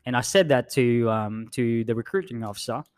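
A man who grew up in the Philippines, co-hosting a podcast in a laid-back, self-deprecating way with many pauses and um's. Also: mid-range voice, smooth. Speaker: podcast co-host. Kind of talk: casual storytelling chat.

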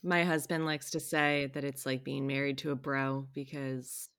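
A woman co-hosting a podcast, with a deep, low voice for a woman. She tells stories with energy and acts out other people's lines. Her tone is relaxed and chatty. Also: normal speed, smooth. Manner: storytelling, acts out quotes. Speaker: podcast co-host and author. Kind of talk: casual podcast chat.

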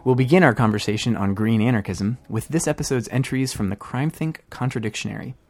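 Mid-pitched man reading scripted news. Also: fast talker, a little mellow. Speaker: podcast co-host.

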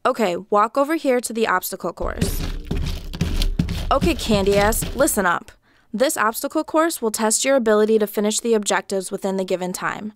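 A woman's voice: mid-range voice, lively intonation, normal speed, background noise, close to an everyday voice. A woman playing a bossy, scornful boot camp drill instructor in a comedic video game parody, mocking and barking orders. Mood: scornful, commanding.

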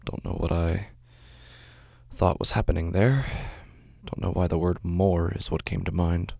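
A man acts out a weary recluse narrating a horror audio drama. His deep voice crackles with fry as he speaks haltingly and introspectively, brooding and anxious, in a close, dry recording. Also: fairly even intonation, dark tone, dry recording, exaggerated voice. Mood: listless, pensive. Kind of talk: first-person horror audio drama monologue.

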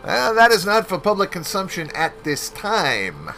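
A man's voice: sits high, wildly swooping pitch, measured pace, bright, forceful tone, soft background music, voice pushed up, exaggerated voice. A man voicing an earnest male police captain who asks careful questions with small stammers. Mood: probing.

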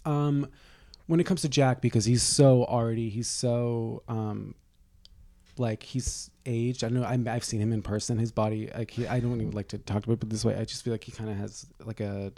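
A man's voice: mid-range voice, fairly even intonation, normal speed, warm tone, no room sound. A man co-hosting a podcast, chatting casually in a teasing, rambling way with lots of restarts. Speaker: podcast co-host. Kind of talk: casual gossipy chat.

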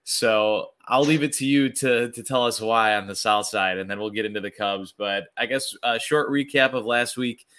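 A man hosts a sports podcast in a slightly hoarse voice that still sounds bright and forceful. His pitch sits on the low side and his chat is relaxed and full of fillers. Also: normal speed, few pauses. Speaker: podcast host.

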